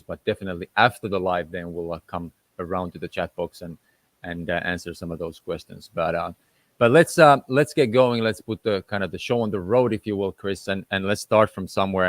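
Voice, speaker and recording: A man hosts a live-streamed interview, his voice low and deep. His speech is friendly and loose, full of little fillers. The sound is dry and close, with no room around it. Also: no echo. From Finland. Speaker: host. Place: at home in a tropical island location.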